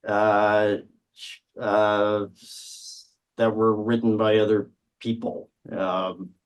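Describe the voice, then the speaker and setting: A man's voice: lowish voice, level tone, drawn-out words, rough recording. A man taking part in a quiz as a contestant, answering informally.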